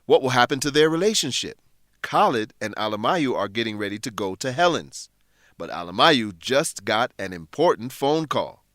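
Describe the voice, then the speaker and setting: A man narrating a scripted radio drama for English learners, with deliberate, presentational phrasing. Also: mid-range voice, big pitch swings, fairly bright tone, no room sound, clean studio sound.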